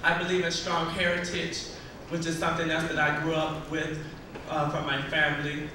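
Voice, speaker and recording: A man answering a pageant question on stage in a measured, earnest, formal way. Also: fairly high pitch, monotone. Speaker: pageant contestant. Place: large stage in a hall. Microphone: handheld mic through hall speakers. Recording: echoey hall taped from far off, noisy.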